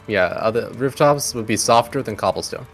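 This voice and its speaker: A man's voice: mid-range voice, moderate pace, creaky. A man speaking as game master, narrating a tabletop game in an easygoing, hesitant way. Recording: music bed behind voice. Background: background music.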